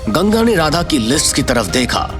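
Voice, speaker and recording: A man's voice: fairly high pitch, very animated, moderate pace, music in the background. A man giving dramatic scripted narration as the narrator of an audio story.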